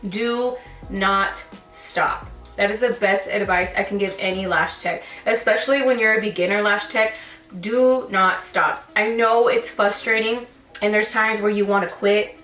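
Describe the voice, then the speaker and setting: A woman's voice: medium pitch, fairly even intonation, moderate pace, fairly bright tone, recorded from a distance. A young woman in her twenties talks in a chatty, easygoing way, calling her listeners girl and sis. She sits a little back from the mic, and her voice carries the echo of the room she is in. Faint music plays underneath. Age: 20s. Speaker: lash technician answering viewer questions. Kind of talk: casual Q&A chat while sipping wine.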